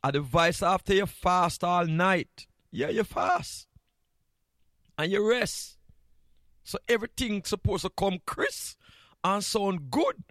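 A man's voice: high-pitched, slow pace, a bit bright, no echo, studio quality. A man with a Jamaican accent, sitting in as a morning radio host, chatting jovially with chuckles and repeated greetings. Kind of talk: casual morning radio chat.

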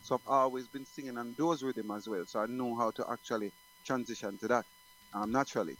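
A man's voice: mid-range voice, fairly even intonation, a little fast, a bit bright, low-quality recording. A middle-aged man from Jamaica, a guest singer talking casually in an interview, rambling with many restarts.

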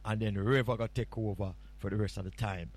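A man's voice: lowish voice, steady tone, a little fast, a little mellow. A man with a Jamaican accent, filling in as a radio host and chatting casually on a morning show in a playful, rambling, teasing way.

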